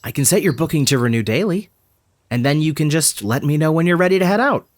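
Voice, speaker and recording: An actress playing a polite, tactful motel clerk in an audio drama, chatty and measured. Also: female voice, sits low, animated, no room sound, clean studio sound. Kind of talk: naturalistic audio drama acting.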